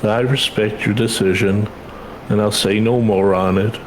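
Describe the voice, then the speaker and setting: A man's voice: on the low side, fairly even intonation, unhurried, a little hiss or hum, natural voice, poor audio. A man voicing a caring, worried and insistent mother in video game dialogue. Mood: resigned, affectionate.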